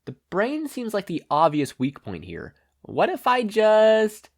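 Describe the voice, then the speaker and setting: A man voicing a cheeky, curious teenage boy hero in an audiobook, playful and excitable. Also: high voice, a little slow, gravelly, pitched above the performer's own voice, full character voice. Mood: playful curiosity.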